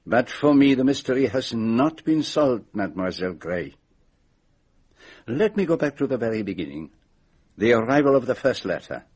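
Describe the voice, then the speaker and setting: Radio drama: methodical Belgian detective, French-accented, husky, formal, very varied intonation. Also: male voice, medium pitch, very animated, darkish tone. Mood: expository, assured. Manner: formal, deliberate, precise. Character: shrewd, courteous, methodical Belgian male detective.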